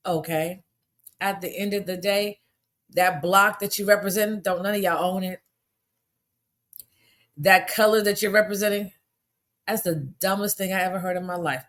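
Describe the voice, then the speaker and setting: A hoarse woman hosting her own podcast, talking in an animated, indignant and emphatic way. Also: mid-range voice. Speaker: podcast host. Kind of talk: casual monologue podcast.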